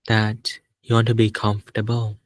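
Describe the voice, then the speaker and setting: A man leading a guided meditation as a teacher, speaking calmly and without hurry, in short phrases with long pauses. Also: lowish voice, no room sound.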